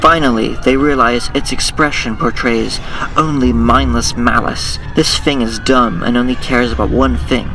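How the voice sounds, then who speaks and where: A man acting as narrator of a superhero audio drama, reading scripted scenes in a deliberate, dramatic way. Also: mid-range voice, fairly even intonation, far from the mic, lightly acted, low-quality recording. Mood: suspenseful.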